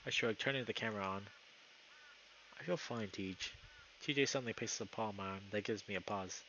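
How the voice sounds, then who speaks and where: A man's voice: lowish voice, rapid-fire, sharp tone, dull audio, slight background noise. A young man live streaming, moving between casual chat and reading story narration aloud.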